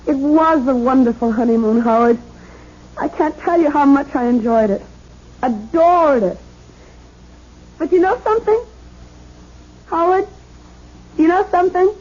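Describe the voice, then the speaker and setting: A high-pitched young bride, chatty, anxious and earnest, in the theatrical manner of 1970s radio drama. The broadcast recording is dull and narrow. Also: female voice, animated, unhurried, long pauses, darkish tone. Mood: happy, affectionate.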